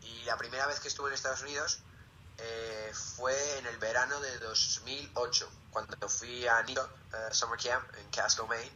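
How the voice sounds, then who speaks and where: Bright-voiced Spanish teenage boy. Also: medium pitch, fairly even intonation, moderate pace, highs cut off, a little hiss or hum. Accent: Peninsular Spanish. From Zaragoza, Spain. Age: late teens. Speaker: interviewee, student. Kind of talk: casual interview answers.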